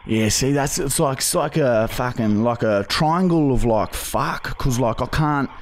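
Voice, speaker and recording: A man's voice: medium pitch, unhurried, a little hiss or hum, lightly acted. A young Australian man playing a pushy, dim, matey bogan begging for work on a prank call, rambling and swearing heavily in slang. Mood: confused, pleading. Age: young adult. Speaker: prank caller and podcast host. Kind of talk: improvised prank phone call. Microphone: boom-arm studio microphone, phone held to face.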